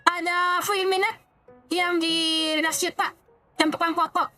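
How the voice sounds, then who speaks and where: A woman's voice: extremely high pitch, little pitch movement, moderate pace, sharp tone, no echo, faint music behind. A woman with an Indonesian accent giving casual live-stream commentary as a VTuber playing a game.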